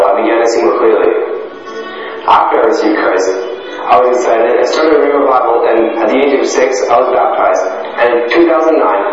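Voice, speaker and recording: A man giving a plain, simple scripted personal testimony. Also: medium pitch. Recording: echoey, distant, muffled, with music. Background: faint background music.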